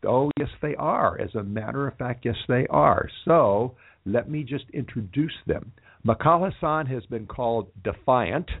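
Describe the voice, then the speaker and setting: A man hosts a live radio show, reading an ad and then chatting with musicians, his speech full of pauses and restarts. The sound is dry and tinny, like a narrow phone-band recording with no room around it. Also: medium pitch, breathy edge, no room sound. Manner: halting, many fillers and restarts. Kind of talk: live radio interview and ad read.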